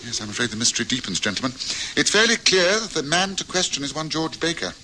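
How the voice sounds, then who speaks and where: An actor playing a confident, incisive detective in an old radio drama, measured and crisp. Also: male voice, a little high, big pitch swings, sharp tone, heavily acted, poor audio. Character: sharp, confident middle-aged detective. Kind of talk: 1960s radio drama acting. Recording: hissy old radio recording.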